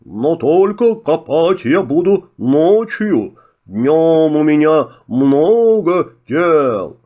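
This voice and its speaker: A huge, distrustful elephant, put on by a man drags out every word in a loud, heavy drawl. He sounds wary and slow to agree. A lumbering figure from a children's filmstrip story. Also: fairly high pitch, very animated, dull audio, exaggerated voice. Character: slow, suspicious, hulking male elephant.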